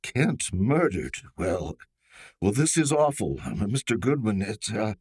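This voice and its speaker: An actor playing a nervous, evasive man in a radio mystery, husky and halting, easily flustered. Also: lowish voice, sing-song, darkish tone, full character voice. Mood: shocked, shaken. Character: nervous, evasive man caught up in a murder case. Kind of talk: old-time radio drama acting.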